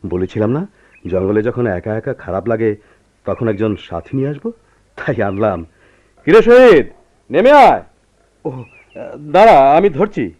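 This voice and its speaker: An actor playing a hearty, teasing forest officer and old friend in a radio drama, speaking with brisk familiarity. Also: male voice, mid-range voice, big pitch swings, darkish tone. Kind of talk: Bengali radio drama acting.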